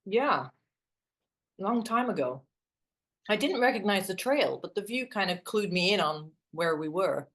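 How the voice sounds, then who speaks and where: A woman's voice: mid-range voice, expressive, unhurried, a bit bright. A woman acting in a naturalistic drama as a wry, self-deprecating best friend who sighs, hesitates and teases. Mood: hesitant.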